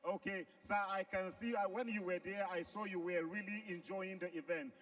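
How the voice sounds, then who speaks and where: A man's voice: high voice, fairly even intonation, moderate pace, a bit bright. A man hosting a live fight event, interviewing a guest with polite, eager "sir" and "please". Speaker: ringside interviewer and host. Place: arena. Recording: distant mic at a live event.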